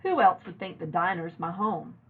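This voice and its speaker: A woman's voice: on the low side, animated, moderate pace, husky. A woman voicing an earnest, emotional young girl who exclaims and pleads, in a storybook read-aloud. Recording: narrow, phone-like lo-fi audio.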